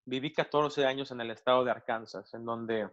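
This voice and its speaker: Mexican pastor speaking in a hesitant, reflective way. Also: male voice, medium pitch, steady tone, moderate pace, a bit bright, lo-fi sound. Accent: Mexican Spanish. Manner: thoughtful, with many hesitations and restarts. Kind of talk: interview answers.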